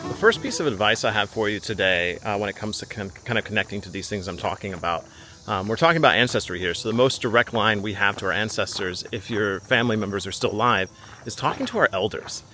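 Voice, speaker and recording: A man's voice: lowish voice, fast talker, pushed and bright, faint music behind. A young man hosting his own channel, giving heartfelt spiritual advice in a casual, earnest way, with frequent ums and uhs. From United States. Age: young adult. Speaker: channel host.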